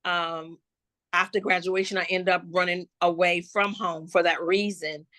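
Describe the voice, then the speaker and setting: A woman from Florida, a gospel hip-hop artist, telling her life story slowly and reflectively in an interview, with many restarts. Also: fairly low pitch, level tone, pushed and bright, dry recording, studio quality. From Jacksonville, Florida. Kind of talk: personal life testimony in an interview.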